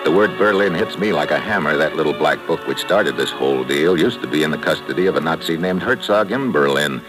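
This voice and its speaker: Hardboiled American agent, deep and dry, wisecracking first-person radio drama delivery over prominent music. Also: male voice, expressive, a little fast, poor audio. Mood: tense, hardboiled. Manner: dry, sardonic, clipped. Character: tough, wisecracking male American secret agent narrating his case. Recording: vintage radio recording with loud music.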